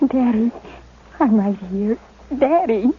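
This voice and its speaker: Radio western teenage rancher's daughter: high, slow, trembling with fear, tender, pleading, thin vintage sound. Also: female voice, expressive. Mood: anguished. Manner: distraught, halting. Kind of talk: 1950s radio western acting. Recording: tinny, phone-like old radio, faint noise.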